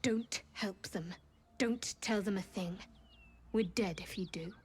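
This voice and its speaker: A woman's voice: medium pitch, takes it slowly, slight background noise, natural voice. A woman playing a guarded, devout young cleric in a fantasy video game, dry and teasing. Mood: urgent. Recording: clear music behind.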